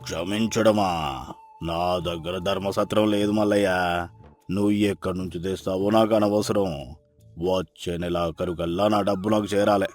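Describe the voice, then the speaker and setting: A pitiless, greedy landlord from a village moral tale, acted by a man. His low male voice is angry, harsh and domineering, full of threat, over background music. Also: fairly even intonation, normal speed, a little mellow, natural voice. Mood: cold threatening. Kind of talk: dramatized moral story acting.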